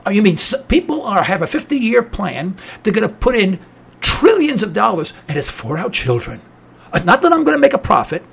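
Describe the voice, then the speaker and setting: Cynical new york guy ranting on his talk show, high lively voice, husky and gravelly. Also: fairly quick. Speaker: radio talk host. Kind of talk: political talk monologue.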